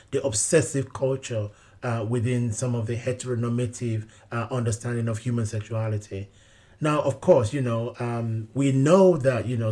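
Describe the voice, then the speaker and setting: A man's voice: medium pitch, a little mellow. Earnest male pastor. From Nigeria. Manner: measured, earnest, frequent "you know" fillers. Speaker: pastor and interview guest. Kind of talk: reflective interview answers.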